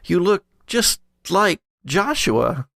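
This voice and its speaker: A man voicing a suspicious, teasing middle-aged woman in a tabletop game, with halting, probing speech. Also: high-pitched, very animated, vocal fry, fairly bright tone, dry recording, clean studio sound, voice pushed up, heavily acted. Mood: puzzled. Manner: halting, probing, calls people darling.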